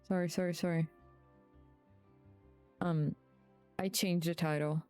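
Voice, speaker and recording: A woman with a fairly low, very mellow voice talks casually to viewers as she streams a game. She is slangy and swears freely. Faint game music plays behind her. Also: steady tone, takes it slowly, clean tone.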